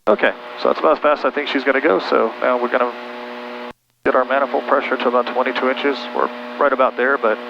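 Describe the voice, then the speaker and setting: A man's voice: medium pitch, steady tone, fast talker, creaky, dull audio. An American man, middle-aged, patiently coaching someone step by step as a flight instructor, in a casual way over a headset. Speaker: pilot instructing a student. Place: small twin-engine plane cockpit in flight. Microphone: aviation headset intercom. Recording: noisy cockpit headset, engine drone.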